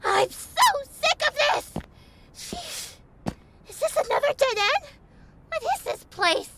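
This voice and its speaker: Very high-pitched cute teenage anime girl. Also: expressive, slow, pushed and bright, a little hiss or hum, heavily acted. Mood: exasperated. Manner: exclamatory, theatrical. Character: cute teenage idol girl, flustered and cheeky. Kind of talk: anime fandub acting. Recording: music behind the voice.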